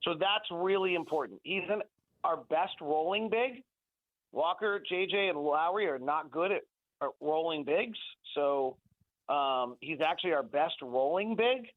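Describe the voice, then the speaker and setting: A man with a slightly high voice analyzes basketball on a sports radio show. He sounds like he is on a narrow phone connection with no room sound. His delivery is conversational and analytical, with words repeated for emphasis. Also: measured pace, no echo. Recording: thin phone-like band-limited line.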